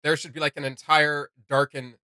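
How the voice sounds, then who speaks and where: A man chats casually as a reaction host. His voice is bright and a little high, recorded dry and close. He rambles excitedly in loose slang. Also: moderate pace, clear, resonant tone, no echo.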